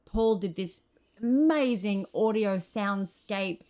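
A woman's voice: medium pitch, takes it slowly, a little mellow. A woman with an Australian accent telling stories in a conversational interview, enthusiastic and emphatic. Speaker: screenwriter and actor, interview guest.

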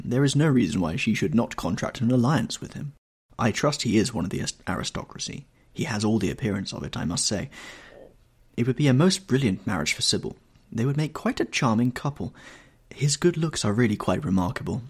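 Man playing a vain, theatrical faded actress, husky. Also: medium pitch, animated, fairly quick, pitched above the performer's own voice. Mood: affected, self-satisfied. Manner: stately, genteel, theatrical. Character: vain, affected, faded actress mother, shallow and complaining. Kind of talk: audiobook character dialogue.

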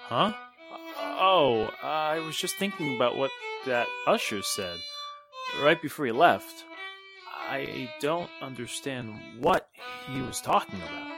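Man playing an earnest friend, lively delivery. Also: mid-range voice, slow pace. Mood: puzzled concern. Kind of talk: radio drama acting in 1940s manner. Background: violin music.

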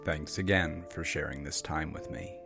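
A man's voice: rumbling low, moderate pace, gravelly. A man hosting a horror podcast, speaking in a scripted sign-off style. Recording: music under the voice.

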